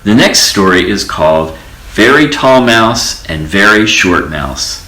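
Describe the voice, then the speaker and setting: Deep-voiced man reading a storybook, very expressive. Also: slow. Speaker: storybook reader. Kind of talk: children's storybook read-aloud. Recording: echoey room.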